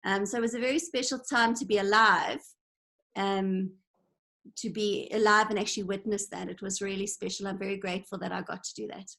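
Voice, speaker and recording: A woman's voice: mid-range voice, steady tone, fairly quick, creaky, a bit bright. A woman in her forties from Zimbabwe, telling stories in an earnest, reflective way as an interview guest on an online call. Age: 40s. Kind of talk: casual conversation. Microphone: video call.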